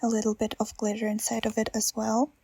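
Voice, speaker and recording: A woman with a Russian accent, a native Russian speaker, narrating a nail art ASMR voiceover in a soft, self-deprecating, chatty way. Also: fairly high pitch, completely flat delivery, fast, vocal fry, a little hiss or hum.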